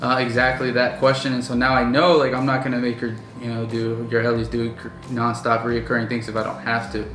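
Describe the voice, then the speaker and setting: A man's voice: medium pitch, monotone, brisk, hardly any pauses, fairly bright tone, reverberant, a little hiss or hum. Rambling young man hosting a vlog. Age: 20s. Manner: rambling, many fillers and restarts. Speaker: vlog host and business owner. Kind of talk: casual chat. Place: indoors at night.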